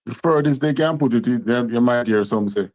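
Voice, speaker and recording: A man's voice: medium pitch, fairly quick, a little mellow, dry recording. A Jamaican man teaching a class, talking emphatically and repeating words to drive his points home. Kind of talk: lecturing class talk. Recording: dull, muffled, dry sound.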